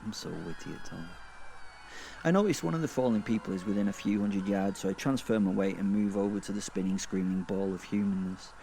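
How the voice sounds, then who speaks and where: A man playing a foul-mouthed, flippant werewolf killer who narrates a horror comedy audio drama in rambling, halting, sardonic asides. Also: fairly low pitch, warm tone, a little hiss or hum, natural voice. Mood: bored, sardonic.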